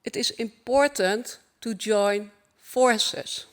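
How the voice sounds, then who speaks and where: Slow, earnest Dutch-accented woman speaker. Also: fairly high pitch. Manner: measured, earnest delivery in short phrases. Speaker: conference speaker. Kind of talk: formal opening keynote speech.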